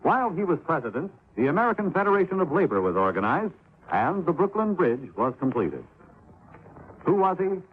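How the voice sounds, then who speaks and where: Male announcer, animated quizzing read, thin tinny old radio. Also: on the high side, brisk, breathy edge.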